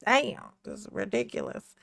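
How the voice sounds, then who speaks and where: A woman with a deep voice giving casual, mocking reaction commentary on courtroom footage. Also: huge pitch swings, moderate pace, gravelly, a bit bright, dry recording, clean studio sound. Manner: sassy, mocking, repeats "look, look".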